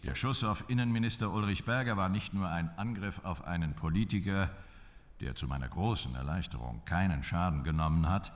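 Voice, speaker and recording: Low-voiced, measured male head of government. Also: a little hiss or hum. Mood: solemn. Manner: measured, statesmanlike. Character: composed male head of government, practised politician. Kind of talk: radio drama, formal political statement.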